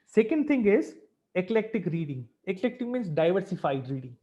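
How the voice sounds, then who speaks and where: A man's voice: high-pitched, very animated, moderate pace, warm tone. A man with an Indian accent giving an informal webinar lecture as a teacher, in a didactic way, often checking in with short tag questions. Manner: didactic, repeatedly checking with "right?" and "fine". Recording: dull lo-fi webinar audio.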